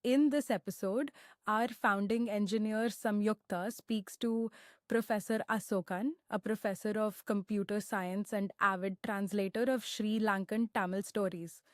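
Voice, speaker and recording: A woman reading a smooth, scripted episode introduction. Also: medium pitch, steady tone, unhurried, no room sound, studio quality.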